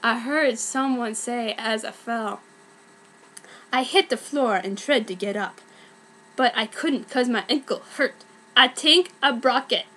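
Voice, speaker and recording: A woman's voice: a little high, a little slow, pushed and bright. A woman giving a mock-dramatic, theatrical amateur reading of a story. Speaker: reader of fan fiction.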